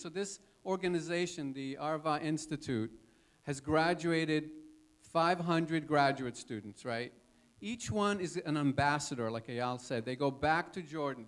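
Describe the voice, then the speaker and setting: A man in his fifties speaking informally as a guest in an audience question session at an expo, in a conversational way. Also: on the high side. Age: 50s. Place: expo stage before a live audience. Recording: live stage talk with audience noise.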